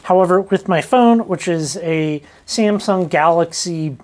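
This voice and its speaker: A woman with a fairly low voice talks through a hands-on demonstration in a casual vlog style. She is informal and thinks aloud as she works. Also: measured pace, darkish tone. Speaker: rockhounding vlogger.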